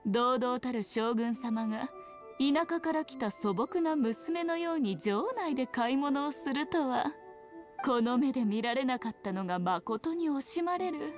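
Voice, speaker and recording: A sly fox-spirit shrine maiden from an anime game. She drawls slowly in a high voice, haughty and playful in old-fashioned speech. Music plays clearly behind her. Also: expressive. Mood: amused, teasing. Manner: archaic, playful, languid. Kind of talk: Japanese anime game voice acting.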